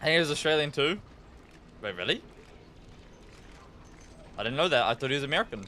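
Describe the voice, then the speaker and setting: A man's voice: fairly high pitch, lively intonation, brisk, sharp tone, slight background noise. A man with a New Zealand accent chatting casually and informally on a gaming livestream, rambling and swearing freely. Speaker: live game streamer.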